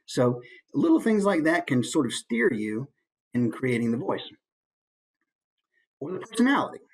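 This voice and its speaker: A man's voice: medium pitch, very animated, unhurried, rough recording. An American man, a voice actor, giving an informal instructional talk and musing aloud through examples.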